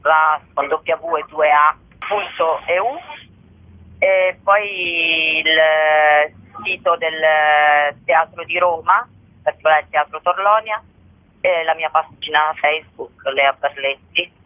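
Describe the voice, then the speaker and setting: Woman guest on a radio phone-in interview, thinky and halting, keeps rephrasing, thin dry phone sound. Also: sits low, fairly even intonation, no echo. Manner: reflective, halting, rephrasing as she explains. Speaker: playwright and actress, interview guest. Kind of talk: radio interview, explaining own work. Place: remote phone call to a radio show. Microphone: telephone. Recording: tinny, band-limited telephone line.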